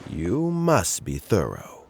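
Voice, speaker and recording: An actor playing a kindly old man in a video game, measured and wistful, with soft sighs. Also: deep voice, wildly swooping pitch, gravelly, slight background noise, full character voice. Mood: measured, earnest. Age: older. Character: kindly old retiring uncle who loves fishing. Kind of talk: video game story dialogue.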